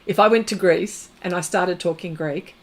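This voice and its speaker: A woman's voice: fairly low pitch, moderate pace. A woman hosting an art livestream, chatting casually and rambling as she works, often laughing. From Australia. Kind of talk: casual chat while demonstrating pastel art.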